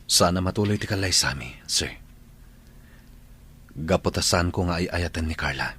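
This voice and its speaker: A man with an Ilocano Filipino accent acting a humble, apologetic young employee in a radio drama, with a husky voice. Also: low voice, moderate pace, sharp tone, heavily acted. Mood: apologetic, pleading.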